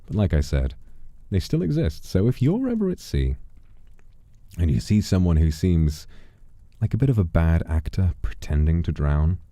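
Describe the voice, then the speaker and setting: A man's voice: sits low, sing-song, normal speed, dark tone, no echo. A man livestreaming as an old demon persona, telling stories in a musing, theatrical way on a close microphone. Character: centuries-old wandering voice demon streamer persona. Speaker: livestream host. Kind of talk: in-character storytelling and casual livestream chat. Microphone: close studio microphone, dry.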